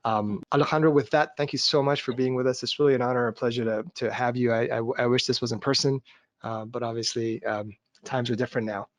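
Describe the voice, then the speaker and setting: A man hosting a webinar over a video call, introducing a guest in a measured way with frequent ums and restarts. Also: medium pitch, fairly even intonation, dry recording. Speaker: webinar host.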